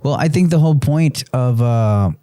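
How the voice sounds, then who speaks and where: A man's voice: mid-range voice, normal speed, warm tone, clean tone, dry recording, clean studio sound. A man in his early forties co-hosting a casual podcast chat, rambling with many restarts and fillers. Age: 40s. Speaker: podcast co-host.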